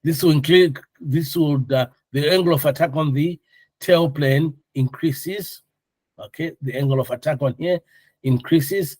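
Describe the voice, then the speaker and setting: A man's voice: on the high side, a little slow, darkish tone, no echo. A man instructing a class in an informal, conversational and jokey way, asking questions and explaining step by step. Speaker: air traffic control course instructor.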